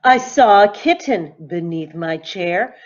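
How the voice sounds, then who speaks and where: A woman teacher reading a rhyming picture book aloud to children in a deliberate, expressive, sing-song way. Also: medium pitch, highs cut off. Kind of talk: expressive storybook read-aloud in rhyme.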